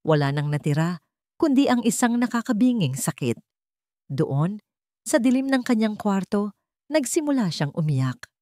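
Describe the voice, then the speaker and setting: A woman narrating a scripted story in a melodramatic way, with deliberate short phrases. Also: on the low side, darkish tone, no room sound, clean studio sound.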